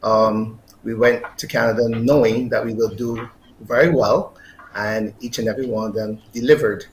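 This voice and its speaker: A man's voice: lowish voice, fairly even intonation, normal speed. A man, an athletics coach answering interview questions. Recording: roomy echo, faint background noise.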